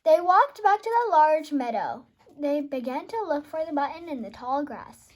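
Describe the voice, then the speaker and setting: Very young girl, high lively reading voice. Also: moderate pace. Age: child. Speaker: host and reader of a children's read-aloud. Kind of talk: read-aloud storytelling.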